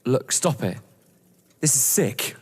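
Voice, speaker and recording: An actor playing a nervous, pleading young lover in an audio drama, incredulous and afraid. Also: male voice, medium pitch, lively intonation, takes it slowly, breathy edge. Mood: desperate pleading. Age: young adult. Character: frightened, pleading young lover of novelist's wife. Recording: reverberant hall-like room.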